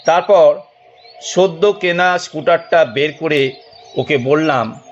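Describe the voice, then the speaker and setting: A man narrating a detective audio drama in an expressive, dramatic storytelling manner with deliberate pauses. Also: fairly high pitch, muffled recording, faint music behind.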